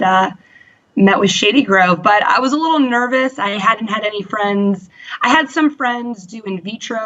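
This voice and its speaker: Bright, chatty woman in her thirties. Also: medium pitch, muffled recording. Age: 30s. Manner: chatty, many fillers and quoted self-talk. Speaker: interview guest. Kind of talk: candid personal story.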